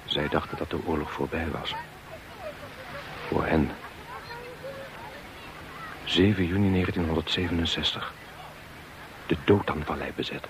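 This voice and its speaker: Deep-voiced, intense soldier. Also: male voice, unhurried, pauses often, background noise. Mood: grim. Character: intense male soldier reliving battle on windswept heights. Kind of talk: poetic radio play monologue.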